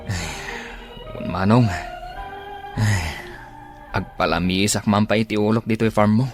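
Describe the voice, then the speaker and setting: An actor playing a weary, henpecked husband in a radio drama, with an Ilocano accent. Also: male voice, lowish voice, steady tone, measured pace, faint music behind, natural voice. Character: weary, henpecked husband, plaintive and put-upon.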